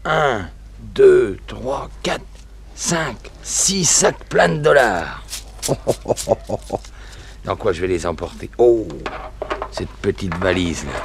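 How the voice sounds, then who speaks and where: An actor playing a ruthless male burglar and killer in an old radio drama, with a gravelly voice. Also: lowish voice, expressive, extremely slow, long pauses, exaggerated voice. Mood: furtive. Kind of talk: 1950s radio drama acting. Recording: noisy old radio recording.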